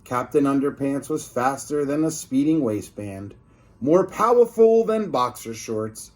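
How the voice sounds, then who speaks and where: A man's voice: mid-range voice, lively intonation, unhurried, a little mellow. A man reading a children's book aloud expressively, like a teacher, with informal asides to his listeners.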